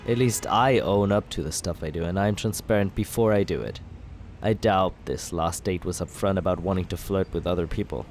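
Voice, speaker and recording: A man in an ASMR roleplay as a villain, talking smoothly and persuasively in a teasing, flirtatious way. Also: sits low, fairly quick, darkish tone, a little hiss or hum.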